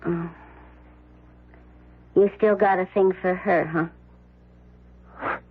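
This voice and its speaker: Husky, slow-talking, defiant dame. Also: female voice, on the low side, fairly even intonation, heavily acted. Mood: sly, cool. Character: jaded, defiant, frank woman with tough edge. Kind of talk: old radio drama acting. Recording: muffled, narrow-band old radio broadcast.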